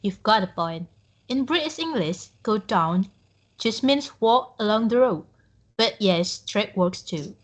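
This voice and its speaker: A woman's voice: medium pitch, animated, measured pace. A young woman playing a helpful British woman giving directions in a student classroom role-play. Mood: patient. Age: young adult.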